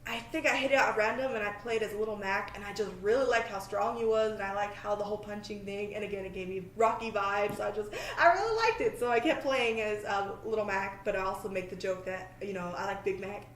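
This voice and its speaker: A woman hosting a podcast in casual, chatty talk, scatterbrained, jokey and quick to poke fun at herself. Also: medium pitch. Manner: scatterbrained, self-deprecating, jokey. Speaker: podcast host. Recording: echoey room, faint background noise.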